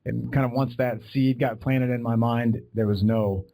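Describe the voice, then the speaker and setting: A man's voice: mid-range voice, fairly even intonation, normal speed, vocal fry, warm tone. A man hosting a podcast interview, chatting in an agreeable, conversational way and often repeating words. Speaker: podcast host. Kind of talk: casual interview talk.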